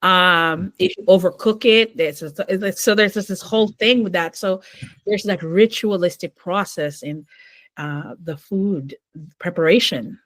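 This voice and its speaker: A woman's voice: fairly low pitch, a little slow, no echo. A middle-aged woman from Metro Manila, a novelist and mother, chatting easily in an interview and telling family stories with many fillers. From Philippines, Metro Manila. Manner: warm, storytelling, many fillers. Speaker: podcast guest, novelist. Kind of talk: casual interview conversation.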